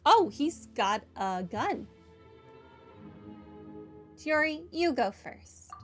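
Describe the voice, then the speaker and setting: Unhurried high-voiced woman commenting on a game. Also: lively intonation, soft background music. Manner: wry, offhand asides. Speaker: gaming video host. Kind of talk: casual playthrough commentary.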